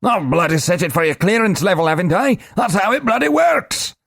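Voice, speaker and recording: A man voicing a small, irate male machine minder who shouts and swears in nearly every phrase. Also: very high-pitched, sing-song, measured pace, vocal fry, slightly rough, no echo, studio quality, pitched above the performer's own voice, full character voice. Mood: exasperated. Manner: shouting, spits the same curse constantly.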